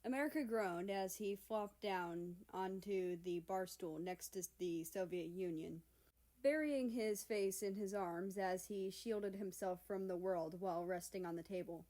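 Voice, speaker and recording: A woman's voice: medium pitch, measured pace, dark tone. A woman narrating a scripted story as its reader. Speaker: fan fiction reader, narrator.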